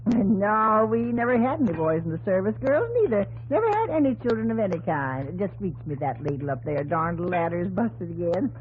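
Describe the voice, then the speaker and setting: An actress playing a brisk, folksy small-town housewife in a 1950s radio drama, plain-spoken and matter-of-fact. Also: female voice, medium pitch, sing-song, natural voice. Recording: vintage radio broadcast, narrow band, faint noise.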